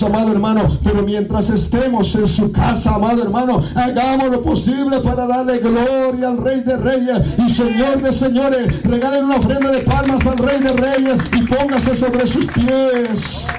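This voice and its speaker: Fervent Guatemalan preacher, high voice. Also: male voice, little pitch movement, moderate pace, hardly any pauses. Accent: Guatemalan Spanish. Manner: fervent, often shouting and half-singing his phrases. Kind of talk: evangelical sermon. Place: church. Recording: distant mic with music behind.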